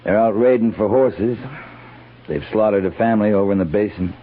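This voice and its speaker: A man's voice: fairly low pitch, brisk, phone-quality sound, a little hiss or hum, lightly acted. An American man, middle-aged, playing a seasoned, stubborn cavalry captain with clipped, dry command, in 1950s radio western style. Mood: dry, measured. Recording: thin band-limited old radio recording.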